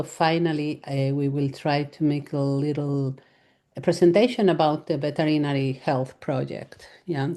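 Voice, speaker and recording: Spanish-accented woman, low mellow voice. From Spain. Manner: hesitant, many fillers and restarts, laughs lightly. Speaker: veterinarian giving a lecture. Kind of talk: live lecture.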